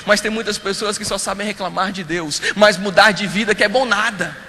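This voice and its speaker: A man preaching as a pastor, shifting between forceful appeals and hushed storytelling. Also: high voice, a little fast. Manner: preaching with rising and falling intensity, drops to hushed storytelling. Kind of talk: sermon. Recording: reverberant hall, faint ambience.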